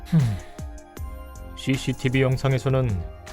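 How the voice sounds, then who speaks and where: A man playing a stern, suspicious police detective in an audio drama, speaking deliberately and pointedly. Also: medium pitch, fairly even intonation, darkish tone, soft background music, natural voice. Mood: accusing, sardonic.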